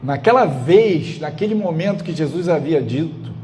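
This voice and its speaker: A man from Brazil with a carioca accent, a pastor retelling a story as a measured, dramatic sermon. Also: fairly high pitch, expressive, mellow, slight background noise. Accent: Brazilian Portuguese, carioca.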